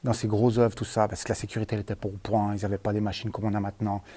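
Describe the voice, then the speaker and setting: A man with a fairly low, very mellow and relaxed voice talks to a handheld recorder. He rambles in a colloquial, hesitant way, like a vlogger telling stories to his followers, often letting sentences trail off into little tags. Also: very fast. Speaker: vlogger, former tunnel worker. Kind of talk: casual rambling storytelling to camera. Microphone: handheld at arm's length.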